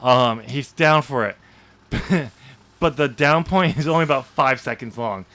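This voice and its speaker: A man with a medium-pitched voice co-hosts a casual podcast. He talks through a story informally and haltingly, often searching for the right words. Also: a bit bright. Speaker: podcast co-host. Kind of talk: casual chat discussing a story.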